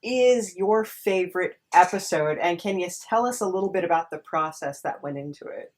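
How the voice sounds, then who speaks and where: A woman with a slightly low voice hosts a podcast interview. She talks in a casual, conversational way. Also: animated, moderate pace.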